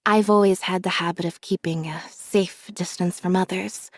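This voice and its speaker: Calm, deadpan young magician's assistant, dry recording. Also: female voice, fairly low pitch, moderate pace, no echo. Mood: calm, detached. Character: reserved, observant young female magician's assistant. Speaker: video game character voice. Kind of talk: scripted character voice lines.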